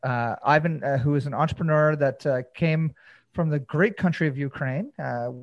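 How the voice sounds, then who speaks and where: A man's voice: fairly high pitch, moderate pace, dry recording, dull audio. A man hosting a casual interview podcast, rambling and hesitant, with many fillers. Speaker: podcast host.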